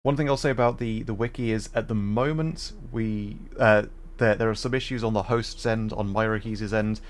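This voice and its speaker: A man's voice: on the low side, fairly even intonation, moderate pace. A man hosting a gaming livestream, chatting casually in a polite, hesitant way. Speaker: livestream host, VTuber. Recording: faint background noise.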